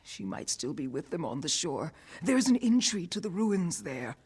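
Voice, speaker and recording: An actor playing a wounded, loyal scout in a fantasy game, with a husky voice and a strained, earnest manner. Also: mid-range voice, sing-song, normal speed, darkish tone. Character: wounded scout, defiant, loyal, self-sacrificing. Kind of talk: video game character acting.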